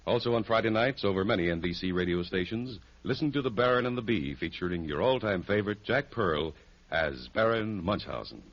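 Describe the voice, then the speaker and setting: A man's voice: lowish voice, lively intonation, fairly quick, highs cut off. A male American network announcer reading a scripted promo in 1950s radio style. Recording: band-limited old radio recording.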